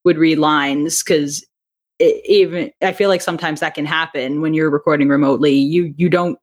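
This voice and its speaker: A woman speaking as a podcast guest in a conversational interview, telling things in a chatty, animated way. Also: low voice. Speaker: podcast guest, audio drama writer and director.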